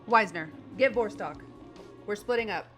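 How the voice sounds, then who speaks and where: A woman's voice: medium pitch, normal speed, soft background music, close to an everyday voice. A woman playing a matter-of-fact, commanding female Marine squad sergeant in a sci-fi audio drama.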